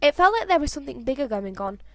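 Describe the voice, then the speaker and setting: A woman's voice: sits high, sing-song, fast, no echo, studio quality. A woman narrating a story like an amateur audiobook, reading expressively with the occasional stumble over words. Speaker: fan fiction narrator.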